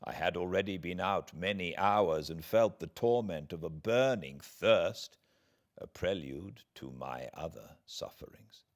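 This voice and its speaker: Slow, low-voiced British male narrator. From Britain. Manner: theatrical, deliberate phrasing with dramatic pauses and swells. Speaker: audiobook narrator. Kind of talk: dramatic literary audiobook reading.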